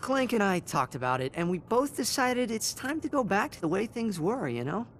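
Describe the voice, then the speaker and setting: Cheeky young hero mechanic, bright youthful high pitch, wry casual delivery, animated intonation, game cutscene. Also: male voice, normal speed, slight background noise. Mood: casual, dismissive.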